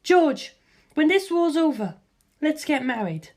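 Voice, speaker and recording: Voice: sits high, very animated, a little slow, a little mellow, voice pushed up, heavily acted. A narrator voicing a confident young warrior woman with a composed, curt manner in a storybook reading. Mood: tender.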